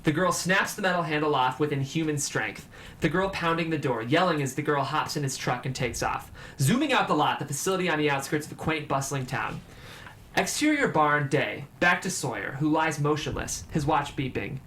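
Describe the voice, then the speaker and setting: A man's voice: a little high, lively intonation, normal speed, fairly bright tone. A man reading scripted stage directions as the narrator of a table read. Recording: clear background noise.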